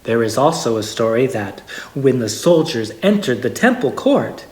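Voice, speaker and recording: A person reading a holiday story aloud in a measured storybook way with expressive pauses. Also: medium pitch. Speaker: story reader. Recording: echoey room.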